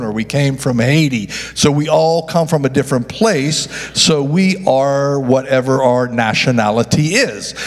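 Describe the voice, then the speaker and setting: Slow preaching pastor in echoing hall. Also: male voice, medium pitch. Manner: preaching with call-and-response to the congregation; stumbles and restarts, corrects himself aloud. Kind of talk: sermon. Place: church sanctuary. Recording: long echo of a large hall.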